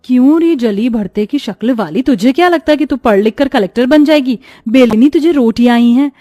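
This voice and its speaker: A woman's voice: high voice, brisk, mellow, dry recording, studio quality, lightly acted. A woman voicing a bossy, spiteful young stepsister in a melodramatic storybook tale, taunting and haughty.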